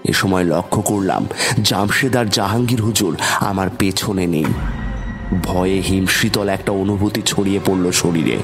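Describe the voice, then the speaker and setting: A man with a low voice narrates a horror story in the first person. His delivery is scripted, dramatic and suspenseful, with faint music behind him. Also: normal speed.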